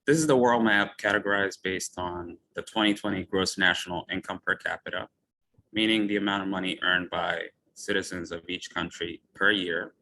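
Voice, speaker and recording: Low bright-voiced man from Ethiopia lecturing. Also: steady tone, poor audio. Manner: measured, personal storytelling. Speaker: physician speaker. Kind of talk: lecture talk.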